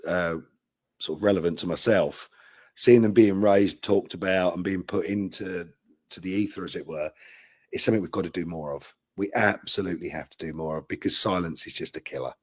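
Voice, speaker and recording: A man speaks informally as a guest actor, in a fairly low voice. The sound is very dry, without room echo. He comes across as earnest and keen. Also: brisk, slightly rough. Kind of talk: informal talk about a role.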